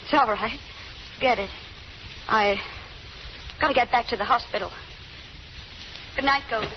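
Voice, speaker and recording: A woman's voice: a little high, moderate pace, natural voice. A woman playing an earnest, anxious young fugitive in an old radio drama, polite and pleading. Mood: subdued. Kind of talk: 1930s-40s radio drama acting. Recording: muffled, noisy old radio recording.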